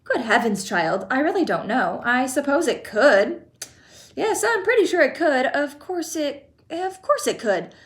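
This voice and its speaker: A woman's voice: high-pitched, sing-song, a little slow, pitched above the performer's own voice. A woman voicing an eccentric, fussy male chocolatier with a theatrical, exclamatory way of talking. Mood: excitable.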